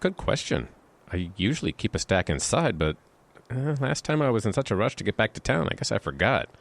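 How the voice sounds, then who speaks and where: An actor playing a brooding, self-assured man in a radio drama, with a measured, poetic delivery. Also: on the low side, very animated, creaky, full character voice. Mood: flustered. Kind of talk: radio drama acting with narrated inner monologue.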